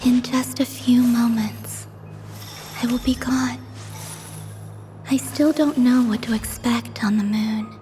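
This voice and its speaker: An actress playing a gentle, wistful young moon maiden in a video game, speaking in a soft, earnest, delicate way. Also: female voice, fairly high pitch, steady tone, slow, long pauses, soft background music. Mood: wistful, hushed. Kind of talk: video game cutscene voice acting.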